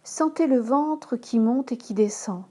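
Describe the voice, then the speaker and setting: A woman yoga instructor leading a guided relaxation in a calm, measured manner, giving instructions in short phrases. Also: a little high. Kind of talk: slow guided relaxation meditation.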